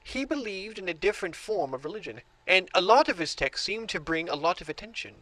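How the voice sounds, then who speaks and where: An actor playing an obsessive book collector who grows entranced, in a horror audio drama. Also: male voice, high-pitched, lively intonation, fairly quick, pushed and bright, no room sound, low-quality recording. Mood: intrigued, obsessive. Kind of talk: audio drama monologue and dialogue.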